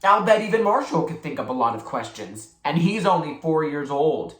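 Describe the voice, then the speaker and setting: A storybook reader voicing a bossy, theatrical boy who schemes smoothly and likes to play high priest. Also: big pitch swings, normal speed, echoey room, close to an everyday voice. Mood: scolding. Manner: solemn chanting, mock-innocent persuasion.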